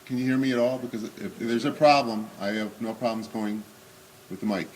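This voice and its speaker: A man in his sixties with a booming voice, giving an unscripted talk at a remembrance service with many pauses and fillers, then reading memoir excerpts aloud. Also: steady tone, slight background noise, poor audio. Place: synagogue hall. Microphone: speaking without a microphone.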